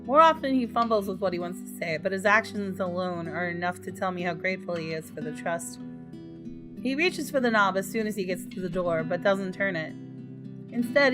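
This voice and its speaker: A woman hosting a gaming video, reading aloud and commenting in a casual, expressive way. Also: lowish voice, brisk. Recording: voice over guitar game music.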